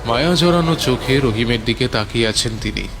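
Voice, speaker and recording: Male narrator, dramatic horror storytelling, mid-range pitch, prominent background music. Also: lively intonation, brisk.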